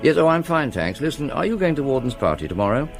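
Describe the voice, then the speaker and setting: An actor playing a discreet, wry English college academic in a radio play, with a measured, hesitant, understated manner. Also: male voice, medium pitch, big pitch swings, faint music behind. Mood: friendly, probing. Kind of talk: radio play acting, conversational.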